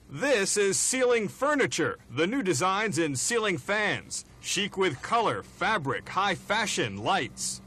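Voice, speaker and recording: American male reporter, moderately high pitch, scripted local news delivery. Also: very animated, takes it slowly, few pauses, bright, forceful tone, breathy edge.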